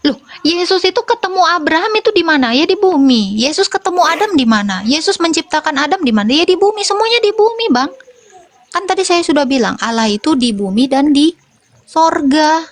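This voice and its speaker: A woman defending her faith in a debate over a voice call, assertive and firing back quick rhetorical questions. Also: high-pitched, animated, dry recording.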